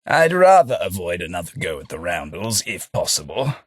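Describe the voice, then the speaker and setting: A mild, self-deprecating English gentleman. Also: deep voice, big pitch swings, moderate pace, breathy edge, dry recording, full character voice. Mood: dry wry. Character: gentle hapless posh English gentleman, a former clerk. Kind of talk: game character voice lines. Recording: dry studio booth.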